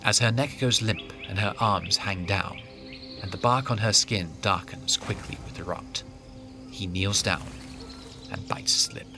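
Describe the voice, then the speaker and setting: A man narrates a scripted fantasy tale. His voice is on the low side, and prominent, loud music plays behind him throughout. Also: a little slow.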